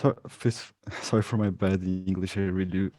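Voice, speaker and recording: A man's voice: low voice, level tone, normal speed, mellow, dry recording, clean studio sound. A Brazilian man, a native Portuguese speaker, asking a question in an online seminar, hesitant and searching for words. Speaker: seminar participant.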